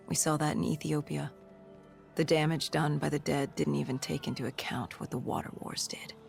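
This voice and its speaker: An actor playing a grim, battle-worn cybernetic soldier, recounting humanity's fall in brooding, measured game narration with a gravelly edge. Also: mid-range voice, natural voice. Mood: weary, somber. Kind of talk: scripted dramatic game narration.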